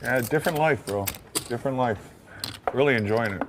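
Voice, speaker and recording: A man's voice: mid-range voice, a little fast, noisy background. A man who grew up in Taiwan, chatting casually at a poker table, rambling, repeating himself and laughing often. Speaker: poker player. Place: poker table in a studio card room.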